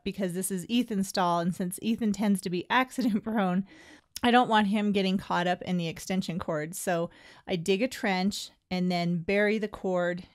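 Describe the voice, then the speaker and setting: A woman's voice: medium pitch, clean tone. A middle-aged woman who keeps horses, talking through her barn work in a plain, friendly, explanatory way. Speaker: horse owner vlogger. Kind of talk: casual how-to narration.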